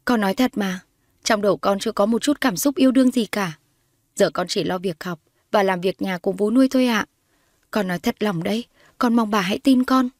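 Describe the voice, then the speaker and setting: A woman's voice: medium pitch, fairly quick, no echo, clean studio sound, close to an everyday voice. A woman voicing a meek fifteen-year-old girl, fearful and polite, pleading earnestly in a dramatized audio story.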